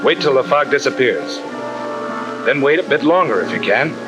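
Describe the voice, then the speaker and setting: Actor as blunt army sergeant, 1950 training film. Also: male voice, medium pitch, sing-song, moderate pace, music in the background, close to an everyday voice, poor audio. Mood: firm, instructive. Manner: blunt, slangy, wisecracking. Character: brisk, tough-talking army sergeant instructor. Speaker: instructor.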